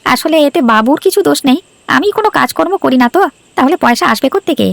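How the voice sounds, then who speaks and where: A woman's voice: sits high, animated, fast, gravelly, no room sound. A woman voicing a poor, submissive, hesitant villager in a cartoon folk tale. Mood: plaintive. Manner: meek, complaining, deferential. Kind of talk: Bengali cartoon fairy-tale dialogue.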